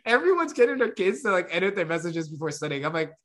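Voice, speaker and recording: Animated, laughing man chatting casually. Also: high-pitched, quick pace. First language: English. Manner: animated, laughs often, repeats words. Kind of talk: casual chat.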